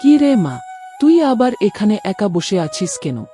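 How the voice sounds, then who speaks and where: A woman acts a middle-aged mother-in-law in a cartoon story. Her mellow voice rises and falls expressively, affectionate and reassuring with a gentle scolding edge. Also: medium pitch, sing-song, measured pace, faint music behind. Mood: coaxing.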